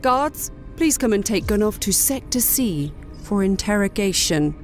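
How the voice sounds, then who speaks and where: A woman's voice: mid-range voice, animated, unhurried, music in the background, close to an everyday voice. A woman playing an imperious, ruthless wolf mother, formal, commanding and menacing, in a sci-fi audio drama. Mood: cold menace.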